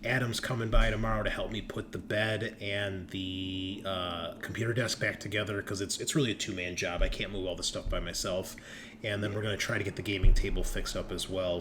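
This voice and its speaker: A man hosting in a casual, enthusiastic way, chatting in slang with plenty of swearing. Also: on the low side. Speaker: host and game master. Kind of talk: casual chat. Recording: noticeable background noise.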